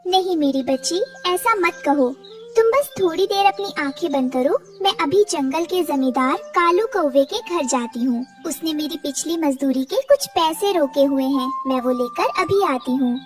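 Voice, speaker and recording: A woman voicing a kind, humble mother sparrow in a cartoon, gentle and consoling. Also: high voice, lively intonation, normal speed, far from the mic, faint music behind. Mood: soothing, maternal.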